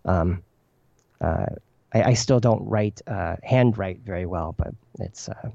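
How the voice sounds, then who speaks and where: A low, gravelly male voice with a dark, mellow tone, answering slowly with frequent pauses and restarts. His first language was Chinese. The sound is dry and a little dull, like lo-fi remote audio. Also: no echo. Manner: hesitant, many fillers and restarts. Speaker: poet guest. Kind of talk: reflective interview answers.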